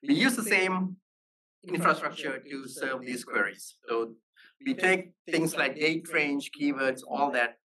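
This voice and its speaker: A man presenting as a software engineer at a meetup, explaining technical material in halting phrases. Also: on the high side, expressive, crowd noise. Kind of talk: technical conference talk. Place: live meetup talk. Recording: voice slightly distant from microphone.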